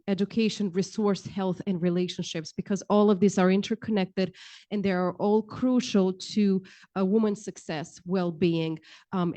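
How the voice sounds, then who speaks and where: A woman's voice: medium pitch, mellow. Earnest woman on a panel. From Romania. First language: Romanian. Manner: earnest, with frequent ums and uhs. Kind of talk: panel discussion talk. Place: large indoor event hall, seated at panel table. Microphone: handheld mic.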